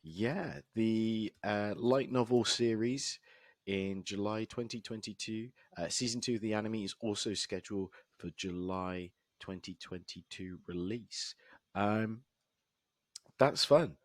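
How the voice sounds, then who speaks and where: A man with a hoarse, husky voice co-hosting a casual podcast and laughing often between remarks. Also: fairly low pitch, measured pace, no room sound, studio quality. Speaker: podcast co-host. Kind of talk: casual chat.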